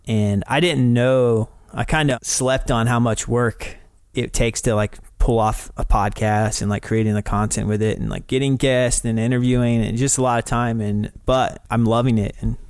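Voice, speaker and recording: A man's voice: lowish voice, a little mellow, clean tone, no room sound. Upbeat male host from North Carolina. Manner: friendly, upbeat, lots of fillers and restarts. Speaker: podcast host. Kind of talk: casual interview chat. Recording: dry close sound with faint music behind.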